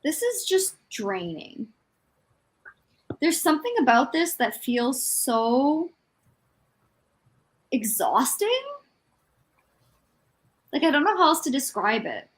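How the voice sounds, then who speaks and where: A woman's voice: high-pitched, big pitch swings, slow pace, long pauses. A woman chatting casually about books as a livestream co-host, exasperated and a little self-deprecating.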